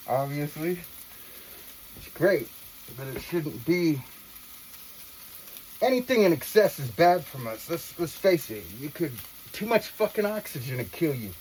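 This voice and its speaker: A woman's voice: lowish voice, darkish tone, slight background noise, rough recording. A woman talking casually to viewers in an earnest, reflective monologue, with slangy, profane phrasing. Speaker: vlogger.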